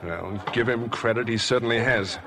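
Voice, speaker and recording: A man's voice: medium pitch, moderate pace, natural voice. A man plays a tense young leading man, protective and decisive. His delivery is clipped and earnest, with a crowd behind in an old radio drama. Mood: tense, urgent. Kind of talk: 1950s radio play acting.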